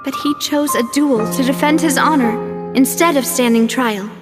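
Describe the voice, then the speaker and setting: An actress voicing a poised, earnest young woman who leads an organization in a game cutscene, with a refined, measured, sincere manner. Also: sits high, over music, lightly acted. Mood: wistful, grave. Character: poised young lady leading a crime-fighting organization, earnest and polite. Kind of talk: video game cutscene voice acting.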